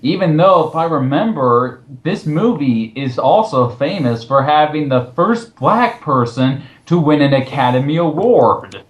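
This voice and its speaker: A man's voice: a little high, lively intonation, measured pace, hardly any pauses, muffled recording. A man co-hosting a film commentary, mocking and sarcastic as he reads and reacts casually. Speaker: co-host of a film commentary show. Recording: roomy, echoey voice recording.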